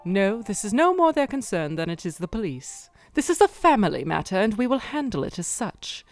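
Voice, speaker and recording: A woman playing a poised, coolly determined young aristocratic heiress in a radio drama, speaking in a measured, dramatic way. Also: medium pitch, very animated, a little mellow, soft background music.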